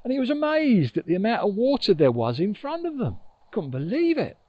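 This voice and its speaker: An older man telling a children's story in an expressive, conversational way, recorded outdoors on a lapel mic. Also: sits high, a little fast, dry recording, lo-fi sound. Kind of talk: informal oral storytelling for children. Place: outdoors on a grassy riverbank. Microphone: lapel mic with furry windshield.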